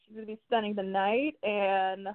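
A woman's voice: medium pitch, steady tone, moderate pace, dry recording. A woman from Miami hosting a radio show, chatting casually with many filler words. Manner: chatty, lots of "like" fillers and laughs. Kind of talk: casual chat. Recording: tinny phone line.

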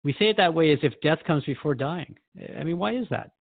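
Man acting as curious radio host, creaky voice, close dry sound, thoughtful, conversational, mildly skeptical, radio drama. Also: on the high side, lively intonation, a little fast, no echo, narrow, tinny audio. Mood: musing. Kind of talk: scripted radio drama interview.

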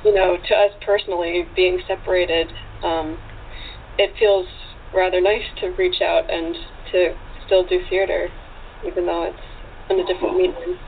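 A woman's voice: fairly low pitch, steady tone, normal speed. A woman, an actress, chatting casually as an interview guest. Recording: tinny phone-like call with hiss.

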